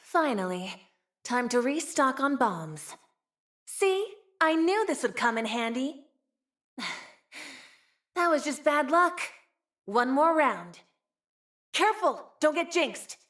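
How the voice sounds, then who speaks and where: Casting: cheeky young game heroine, streetwise frontier type, highish voice, unhurried, sly teasing delivery. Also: female voice, very animated, fairly bright tone, full character voice. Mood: upbeat, cheeky. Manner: teasing, sly, drawling, sighing between wry remarks. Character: playful, cheeky young frontier woman with a tail, streetwise, wry. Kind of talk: video game character voice lines.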